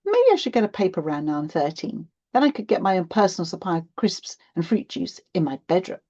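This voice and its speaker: A woman's voice: on the low side, very animated, fairly quick, mellow, lo-fi sound. Woman as a sulky, aggrieved teenage schoolgirl. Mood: everyday grumbling. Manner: complaining, indignant. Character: aggrieved thirteen-year-old schoolgirl, sulky and frustrated. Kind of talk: acted children's audiobook dialogue.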